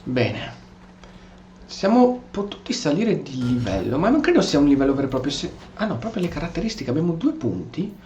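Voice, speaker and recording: Animated, high-voiced Italian man. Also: fast talker, highs cut off. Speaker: gaming video host. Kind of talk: casual let's play commentary with role-played replies. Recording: roomy echo, faint background noise.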